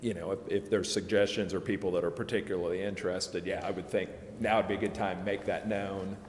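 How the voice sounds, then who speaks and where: A man explaining procedure at a public meeting in an informal, halting, hedging way, like an adviser to a council. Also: deep voice, steady tone. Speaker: legal adviser to a city council. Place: indoor council chamber. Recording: echoey, reverberant council chamber with background noise.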